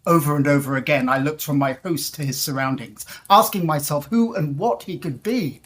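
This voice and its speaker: Low-pitched, articulate gentleman traveller played by a woman. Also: natural voice. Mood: reflective storytelling. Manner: formal, articulate, deliberate storytelling. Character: confident, educated gentleman traveller recounting an eerie tale. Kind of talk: period audio drama acting and narration.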